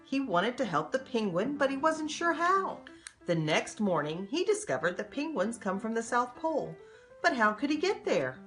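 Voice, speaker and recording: A woman's voice: fairly high pitch, normal speed, soft background music. An older woman, a grandmother, reading a children's storybook aloud expressively and speaking directly to young children. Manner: addresses young children directly, simple animated delivery.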